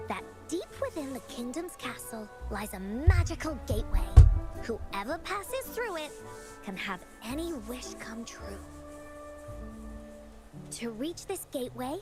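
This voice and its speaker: An actress playing a gentle storyteller for children in a video game, with expressive, measured storybook delivery. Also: female voice, high-pitched. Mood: storytelling. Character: gentle female storyteller addressing young children. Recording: loud music under game audio.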